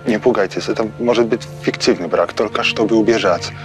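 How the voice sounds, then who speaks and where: A young actor playing an earnest Polish geologist who speaks careful, halting Russian with a Polish accent. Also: male voice, on the low side, music in the background, lightly acted. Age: young adult. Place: sunny outdoor courtyard.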